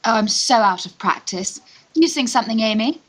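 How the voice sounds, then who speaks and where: A woman's voice: on the high side, expressive, moderate pace. A woman acting an eager, coaxing young society lady in a Victorian radio farce, polite and pleading.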